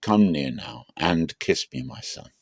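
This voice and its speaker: A man playing an aged patriarch in a scripture reading, slow and weighty, a searching and solemn father. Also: rumbling low, lively intonation, no room sound, studio quality, full character voice.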